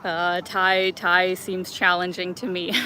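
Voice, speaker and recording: A woman's voice: on the low side, fairly even intonation, takes it slowly, pushed and bright, a little hiss or hum. A young woman hosting a travel live stream outdoors in a city park, chatty and laughing often as she greets viewers by name.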